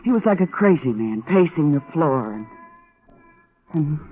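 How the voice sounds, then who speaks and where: An actress playing an anxious, defensive client under questioning in an old-time radio drama, pleading and faltering. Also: female voice, low-pitched, big pitch swings, unhurried, breathy edge, full character voice. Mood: evasive, anxious. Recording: dull old radio recording.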